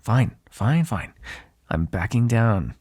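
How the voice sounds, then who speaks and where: A man's voice: lowish voice, expressive, takes it slowly, a little mellow, slightly rough, exaggerated voice. A man playing a sardonic, foul-mouthed vampire on the run in an ASMR roleplay, talking in a conversational, exasperated way.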